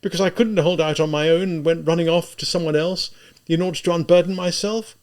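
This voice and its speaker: A man voicing a tormented, spiteful young murderer in an audiobook, halting and emphatic as he reproaches himself. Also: medium pitch, lively intonation, lightly acted.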